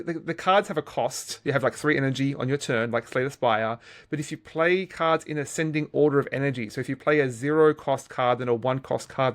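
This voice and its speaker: A man co-hosting a video game podcast in casual discussion, often repeating and correcting his words as he goes. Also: fairly high pitch.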